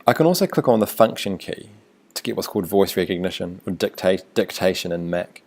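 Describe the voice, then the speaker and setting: A man with a New Zealand accent, a teacher talking through a screencast tutorial in a relaxed, thinking-aloud way. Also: deep voice, fairly quick, creaky.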